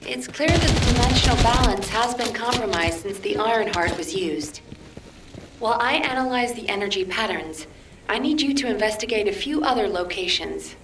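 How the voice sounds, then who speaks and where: A woman voicing a grave, analytical headquarters commander giving formal, measured mission briefings in a video game. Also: on the high side, expressive, a little hiss or hum. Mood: serious, analytical. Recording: roomy, distant, loud music behind.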